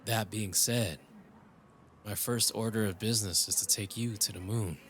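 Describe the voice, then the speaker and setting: A man's voice: on the low side, steady tone, normal speed, a bit bright, lightly acted. A man playing a world-weary, tender cyberpunk dreamer in love, speaking intimately and reflectively in a romantic audio roleplay. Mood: earnest, hopeful. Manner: intimate, reflective, switching into Tagalog phrases.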